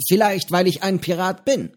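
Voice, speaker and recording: A man's voice: sits high, normal speed, pitched above the performer's own voice, close to an everyday voice. A man voicing an eccentric, cheerful old male pirate who lives on an island, talking easygoingly with small fillers. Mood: jovial. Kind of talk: audiobook character voice.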